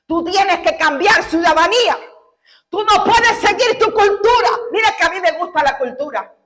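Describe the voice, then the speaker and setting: A woman pastor from Cuba preaching a sermon in a fervent style, climbing to shouted, tearful peaks and slowing down when she reads scripture. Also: very high-pitched, animated. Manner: slow, deliberate scripture reading.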